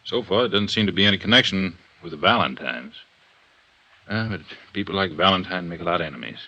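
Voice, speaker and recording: A gruff yet fatherly police inspector in a vintage radio serial. His voice is deep with a hard, forceful edge. He talks plainly and firmly. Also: expressive, fast talker, dull audio, exaggerated voice. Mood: businesslike. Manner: plain-spoken, firm. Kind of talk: 1950s radio crime drama acting.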